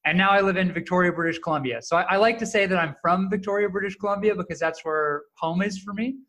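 A man in his thirties, a startup co-founder answering podcast questions in a friendly, joking, relaxed way. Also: high-pitched, fast. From Canada. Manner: friendly, jokey, relaxed storyteller. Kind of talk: casual interview answers.

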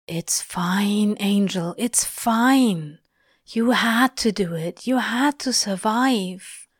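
A woman voicing a gruff, tender, cynical male demon in a slow, hushed murmur, as in an audiobook. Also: fairly low pitch, no room sound, studio quality. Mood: soothing, baffled. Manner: slow, muttering.

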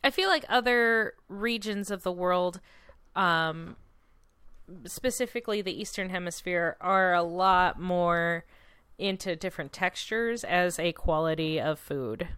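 A woman's voice: fairly low pitch, a little slow, a bit bright, clear, resonant tone, dry recording. A woman in casual, informal conversation, with a wry and playful manner. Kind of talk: casual chat.

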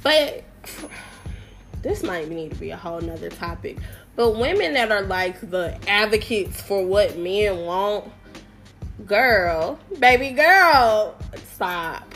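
A woman's voice: mid-range voice, lively intonation, slow, fairly bright tone, faint music behind. A young woman hosting her podcast alone, speaking in a nasal voice while sick with a summer cold, chatty and playful with self-deprecating, slangy asides. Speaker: podcast host. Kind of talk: casual solo podcast chat.

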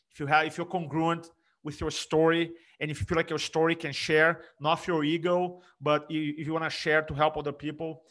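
A middle-aged man from Brazil with a Brazilian Portuguese accent, an energetic speaking coach talking emphatically in an interview. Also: fairly high pitch. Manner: energetic, emphatic, frequent restarts. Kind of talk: conversational interview.

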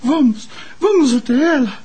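A woman playing the gentle, forgiving spirit of a young boy, earnest and childlike, in a radio drama. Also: high-pitched, expressive, moderate pace. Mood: earnest, concerned. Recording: faint music under the voice.

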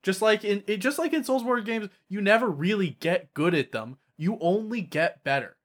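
A man's voice: high voice, animated, normal speed. A man in a casual debate about games, insistent and argumentative, with some swearing. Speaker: podcast co-host.

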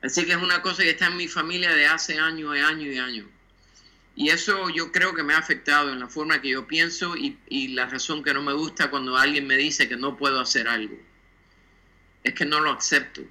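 Cuban-born guy in his 60s, kinda high voice, super bright and forceful, thinks out loud and slips into english words. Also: fairly even intonation, a little fast, low-quality recording. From Cuba. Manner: thoughtful, drops English words into his Spanish. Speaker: guest designer. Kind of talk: casual interview talk.

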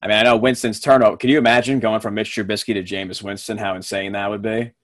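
A young man hosting a casual sports podcast, relaxed and quick to laugh, with plenty of fillers. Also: on the low side, no room sound, clean studio sound. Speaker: podcast host.

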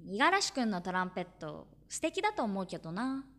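A woman playing an earnest, shy high school girl in a Japanese audio drama, gentle and hesitant in manner. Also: big pitch swings, a little fast. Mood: encouraging. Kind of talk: Japanese audio drama acting with first-person narration.

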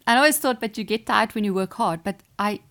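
A woman's voice: medium pitch, moderate pace. A woman, an Afrikaans speaker, answering interview questions as a chess player and sports psychologist in an earnest, encouraging way. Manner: earnest, encouraging, with small restarts and soft laughs.

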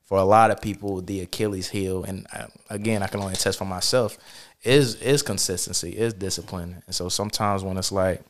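A man's voice: low voice, steady tone, fairly quick. A man hosting a podcast in a loose, casual way, full of fillers and affirmations. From Charlotte, North Carolina. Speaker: podcast host. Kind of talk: casual conversation.

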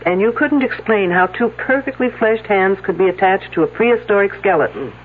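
An actress playing a brisk, probing lady police detective in a 1970s radio drama, with pointed, insistent questions. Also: mid-range voice, lively intonation, slight background noise, lightly acted. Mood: probing, controlled. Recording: narrow, tinny old radio broadcast.

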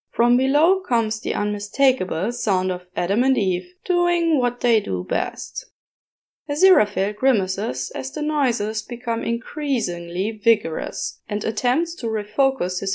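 A woman's voice: a little high, expressive, unhurried, darkish tone. A woman reading a story aloud as scripted audiobook-style narration. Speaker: podfic narrator. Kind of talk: scripted narration of fan fiction.

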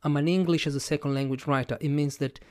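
A man's voice: fairly high pitch, steady tone, fast talker, a little mellow, smooth, no echo, clean studio sound. A man with an Italian accent, a podcast host thinking aloud to his audience, hesitant, with many fillers and restarts. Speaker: podcast host and language educator. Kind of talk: casual reflective monologue to camera.

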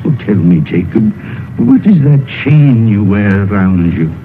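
An actor playing a crotchety, stubborn, easily frightened old miser in a vintage radio drama, with a halting, blustering delivery. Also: male voice, fairly low pitch, big pitch swings, a little hiss or hum. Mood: fearful pleading. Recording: muffled old radio broadcast, music behind.

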